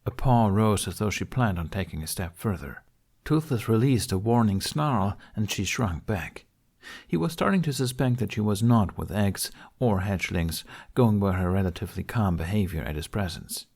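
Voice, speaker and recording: A man's voice: fairly low pitch, expressive, normal speed, creaky, darkish tone. A man reading a scripted fantasy story as an audiobook narrator.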